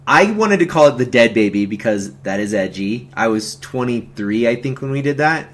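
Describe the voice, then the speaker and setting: A man with a mid-pitched voice hosts a casual movie commentary. He rambles in slangy, conversational language, often halting as he gathers the next detail. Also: lively intonation. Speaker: host of a film commentary stream, amateur horror filmmaker. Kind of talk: casual film commentary chat.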